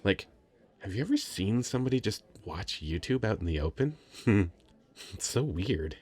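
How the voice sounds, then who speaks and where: A man playing a very shy, sweet young nerdy writer in an ASMR roleplay, hesitant, stammering and soft-spoken. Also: lowish voice, very animated, moderate pace, husky. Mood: warming up, chatty. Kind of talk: ASMR roleplay, addressing the listener.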